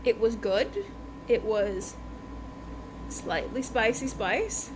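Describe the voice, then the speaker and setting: A woman with a fairly high voice hosts a casual book review podcast. She talks informally and emphatically, repeating phrases and checking that listeners follow. There is noticeable noise behind her. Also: big pitch swings, takes it slowly. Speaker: podcast host reviewing books.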